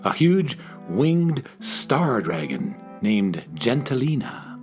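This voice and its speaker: A man's voice: medium pitch, huge pitch swings, slow, a little mellow, faint music behind. A man narrating a children's radio play as a scripted storyteller, addressing young listeners.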